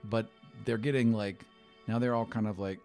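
A man's voice: fairly low pitch, steady tone, moderate pace, a little mellow, faint music behind. A man in his thirties narrating as game master in an easygoing way, on a studio mic. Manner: easygoing, lots of fillers. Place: studio set with a desk. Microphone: studio mic on a stand.

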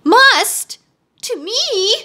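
A woman's voice: high voice, lively intonation, moderate pace, sharp tone, full character voice. A woman voicing a vain, hot-tempered princess in a storybook, with an imperious, dramatic manner. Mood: outraged.

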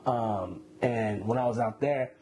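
A man in his twenties from Iran, a guest telling his story in a relaxed, slangy way with many fillers, seated indoors on a lapel mic. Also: medium pitch, a little slow, slight background noise. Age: 20s. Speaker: interview guest. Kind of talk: casual interview. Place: indoor room, seated on a couch.

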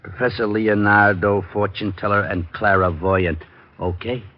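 A man playing a gruff, touchy, slow-witted police sergeant in 1940s radio drama. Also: lowish voice, fairly even intonation, natural voice. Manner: uneducated tough-guy speech. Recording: muffled old radio recording.

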